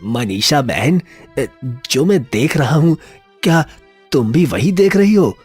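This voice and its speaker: A man voicing a humble, anxious village man in a cartoon moral story, pleading deferentially in a husky voice. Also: on the high side, animated, slow, a little mellow, faint music behind, heavily acted. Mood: remorseful.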